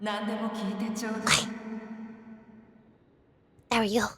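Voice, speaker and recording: A woman's voice: a little high, little pitch movement, extremely slow, fairly bright tone, breathy edge, no room sound, soft background music. A woman streaming a game, chatting casually and often wondering aloud in questions. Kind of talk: casual livestream chat and reading game dialogue aloud.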